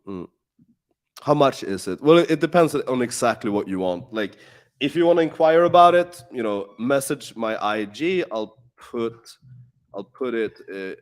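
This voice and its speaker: A man at a mid-range pitch answers viewer questions on a live stream. His talk is loose and profane, packed with "like" and restarts. It sounds like unscripted, offhand chat. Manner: casual, profane, heavy filler words. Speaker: live Q&A host. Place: in Warsaw.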